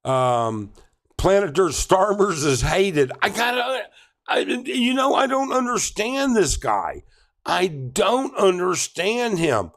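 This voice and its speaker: A man's voice: sits high, sing-song, takes it slowly. A middle-aged man hosting a casual live stream, reading chat comments aloud and answering with many ums and restarts. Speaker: livestream host.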